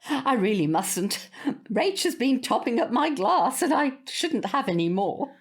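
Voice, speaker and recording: Genteel older lady neighbour, apologetic and easily flustered, highish voice with very animated swings, radio play. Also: measured pace, breathy edge, full character voice. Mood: flustered, tipsy. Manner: proper, hesitant, genteel. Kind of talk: audio drama acting.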